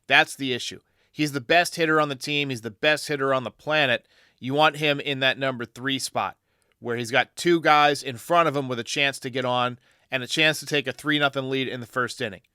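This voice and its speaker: A man's voice: mid-range voice, bright, forceful tone, clean tone, dry recording, studio quality. A man hosting a podcast, answering fan voicemails with emphatic, opinionated sports talk. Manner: emphatic, argumentative.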